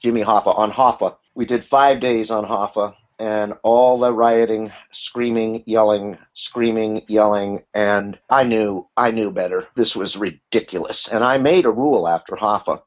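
A man's voice: fairly low pitch, fairly even intonation, moderate pace, hardly any pauses. A middle-aged or older man who works as a voice actor, telling candid, rambling stories as a guest in a casual interview. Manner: chatty storytelling, candid, rambling with restarts. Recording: muffled lo-fi audio.

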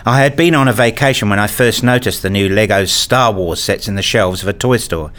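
A man narrating a first-person radio story in a measured, reflective way, also voicing the father's lines. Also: lowish voice, fairly bright tone. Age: middle-aged. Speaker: narrator and lead actor playing a father.

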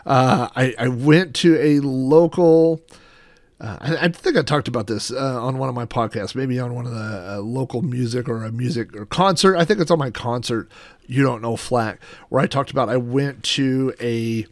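A man's voice: medium pitch, normal speed, no room sound, studio quality. A middle-aged American man hosting a casual show-and-tell, reminiscing in a rambling, anecdotal way. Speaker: host of a personal collection show.

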